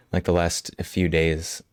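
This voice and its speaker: A male livestream host chatting casually with viewers, slightly hoarse from a cold, relaxed and quick to laugh. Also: sits low, no room sound, studio quality. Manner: relaxed, laughs often, answers chat. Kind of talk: casual chat with live chat. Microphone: studio microphone.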